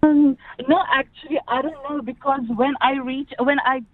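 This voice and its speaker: A woman's voice: high-pitched, fairly even intonation, moderate pace. A woman calling in to a radio show by phone, talking hesitantly with restarts and giggles. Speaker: radio caller. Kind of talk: on-air phone call. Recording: tinny dry phone line.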